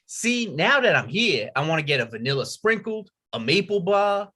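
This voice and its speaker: Laid-back wisecracking buddy, played by a man, higher male pitch, lively intonation, comedy script. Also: normal speed, heavily acted. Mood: casual, wry. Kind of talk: comedy table read.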